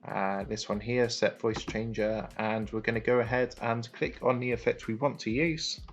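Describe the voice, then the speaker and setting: A man's voice: medium pitch, steady tone, moderate pace, dull audio. A man presenting a step-by-step tutorial in an instructional narration style. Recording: music playing behind the voice. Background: music.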